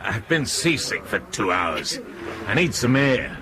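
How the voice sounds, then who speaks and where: A man's voice: medium pitch, sing-song, a little slow, background noise. An actor playing a restless, seasick, complaining male airline passenger in a radio drama. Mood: restless, queasy.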